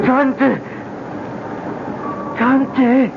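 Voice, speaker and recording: An actor playing a tormented zombie in a 1970s radio drama, moaning and crying out in halting words. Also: male voice, very high-pitched, heavily acted. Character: entranced male zombie struggling back toward death. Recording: dull vintage radio recording, music behind.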